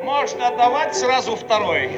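A pompous Soviet bureaucrat in an old radio comedy, indignant and imperious. His voice is pitched very high and sounds distant in a big echoing hall. He declaims every demand with great self-importance. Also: big pitch swings, measured pace, lo-fi sound, full character voice. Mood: condescending. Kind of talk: theatrical radio play acting. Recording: echoey noisy archival radio-play recording, distant.

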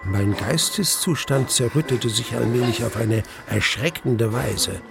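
Gravelly, grave male narrator. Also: mid-range voice, over music. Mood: grave recollection. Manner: measured, grave, dramatic. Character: tormented male survivor recounting his ordeal. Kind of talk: literary radio drama narration.

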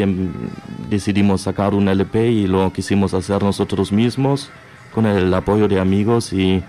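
A shy man from Germany, speaking Spanish that is not his tongue, answering questions in a halting way with many pauses on a radio show. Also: fairly low pitch, dark tone. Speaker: guest, record label founder. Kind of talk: radio interview.

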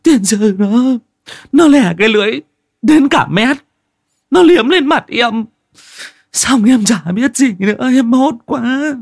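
A terrified young village wife in a spoken ghost story, her voice pushed up shrill and high with a strained, altered tone. She wails and gasps her words in panic, the fear never letting go. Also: animated, slow, creaky, dry recording, studio quality, exaggerated voice. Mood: frightened telling.

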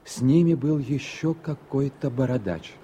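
A man reading scripted radio drama narration in a measured, literary storytelling manner. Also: medium pitch, lively intonation, warm tone, slight background noise.

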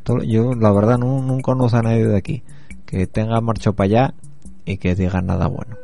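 Low, mellow-voiced Asturian radio host. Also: male voice, level tone, brisk, gravelly, soft background music. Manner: chatty and rambling, addresses listeners directly, many fillers. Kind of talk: casual radio monologue.

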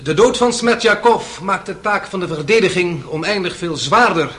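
An actor playing a shrewd, sardonic defence lawyer in a radio courtroom drama, formal and pointedly polite. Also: male voice, high-pitched, expressive, normal speed, a bit bright. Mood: measured pleading.

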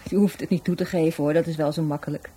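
A dry offhand cynical lady in a radio play, dark husky voice, kinda low. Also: fairly even intonation, fast. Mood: blunt, offhand. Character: blunt, offhand, cynical sister of a murder victim. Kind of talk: radio drama acting.

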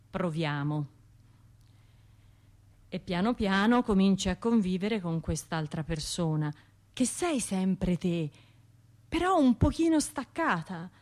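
An actress with a Tuscan accent playing a wounded, wry woman who survived a bombing, in a confiding, halting radio monologue. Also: medium pitch, a little mellow, lightly acted. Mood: wry, puzzled. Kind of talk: radio theatre monologue, conversational storytelling.

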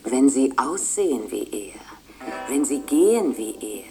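A woman announcer reads a radio advert in an audio drama. Her voice is very deep and mellow, with lively movement in pitch. Faint music plays behind her. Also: measured pace, full character voice. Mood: insistent.

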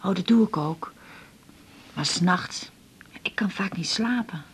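An actress from the Netherlands playing a homely, fussing, lonely older mother in a Dutch radio drama, with a husky voice. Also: on the low side, a little slow. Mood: pleased, wistful. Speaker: actor playing the doctor's mother. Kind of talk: naturalistic radio drama dialogue.

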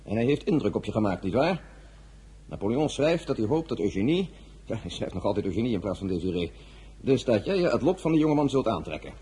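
An actor playing a reasonable, placating envoy and brother-in-law in a radio drama, speaking conversationally. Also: male voice, lowish voice, very animated, fast, dark tone, slightly rough, full character voice. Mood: persuasive.

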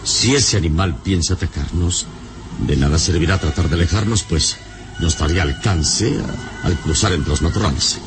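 An actor playing a vigilant, authoritative adventurer hero in a solemn, measured radio serial style. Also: male voice, low-pitched, over music, lightly acted. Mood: commanding. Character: commanding, vigilant adventurer hero, calm under danger. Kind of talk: old radio serial acting. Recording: noisy old radio serial recording.

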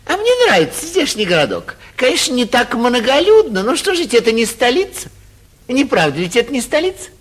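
A man playing a foppish, carefree young gentleman from the capital in a classic Russian stage comedy for radio, chatty and airy with a self-satisfied manner. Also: very high voice, huge pitch swings, brisk, full character voice. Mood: breezy, genial. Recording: muffled, husky 1949 radio theatre recording.

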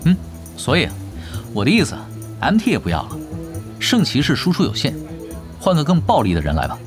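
A man playing a laid-back, confident veteran gamer in an audio drama, with casual, unhurried assurance. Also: fairly high pitch, wildly swooping pitch. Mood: calm, assured. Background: faint music.

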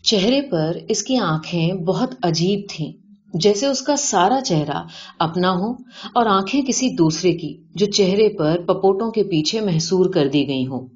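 A woman's voice: fairly low pitch, animated, a little mellow. A woman reading a scripted short story as an audiobook narrator, in a recording with dull, band-limited sound.

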